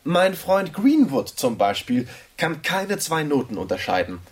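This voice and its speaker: A man's voice: a little high, sing-song, a little slow. A man acting in a German radio drama as a big, self-assured young Oxford scholar, debating in a pompous, ironic, lecturing way.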